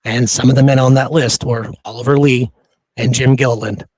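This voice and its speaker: Man's voice, lower side, podcast host chatting about history, very dry sound. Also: steady tone, fairly quick, darkish tone, breathy edge, no echo. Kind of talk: casual history discussion.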